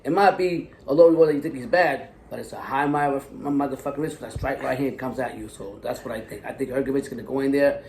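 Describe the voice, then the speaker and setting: A man's voice: mid-range voice, fairly quick, few pauses, a little mellow, echoey room, a little hiss or hum. A man co-hosting a boxing talk show, giving blunt, profane fight predictions. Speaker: co-host and fight analyst. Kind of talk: casual sports talk.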